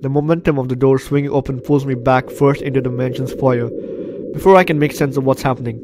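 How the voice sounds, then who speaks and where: A man hosting a gameplay video, reading game text aloud and breaking in with expressive reactions. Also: medium pitch, fast talker, a crowd in the background. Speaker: gaming video host.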